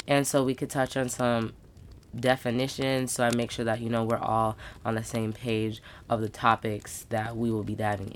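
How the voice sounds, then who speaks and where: A woman in her twenties hosting a solo podcast, talking in a casual, slangy, upbeat way with emphatic asides. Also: a bass voice. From United States. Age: 20s. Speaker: podcast host.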